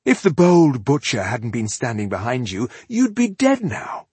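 A man's voice: medium pitch, sing-song, a little slow, darkish tone, husky, no room sound, pitched above the performer's own voice, full character voice. A man voicing an arrogant, sadistic elf warrior in a fantasy audiobook, grandiose and mocking in a theatrical way. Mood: mocking delight.

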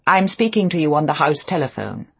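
A formal housekeeper acted by a woman reading an audiobook, cool and deferential to her employer. Her voice is markedly husky, and every phrase is measured and stiffly polite. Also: low-pitched, very animated, full character voice.

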